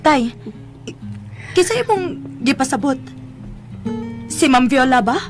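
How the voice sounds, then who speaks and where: An actress playing a nosy, playful young adult daughter in a radio drama, with a Visayan Philippine accent and a prodding, giggly manner. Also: female voice, sits high, lively intonation, unhurried, music in the background. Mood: curious, prodding.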